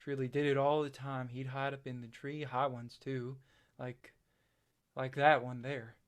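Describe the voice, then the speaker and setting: A man playing a haunted, self-doubting farm boy in a stage play, halting and plain-spoken. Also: medium pitch, flat delivery, clean tone, lightly acted. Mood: wistful.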